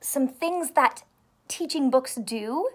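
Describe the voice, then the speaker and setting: A woman in her thirties, a primary school teacher reading aloud to young children in an expressive, carefully enunciated way and asking them questions. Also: high voice, slow. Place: small home room with sofa and soft toys.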